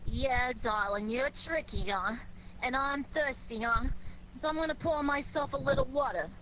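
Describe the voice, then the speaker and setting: An actress playing a playful, coy sweetheart and amateur sleuth in an old-time radio drama. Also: female voice, sits high, normal speed, fairly bright tone, low-quality recording. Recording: noticeable background noise.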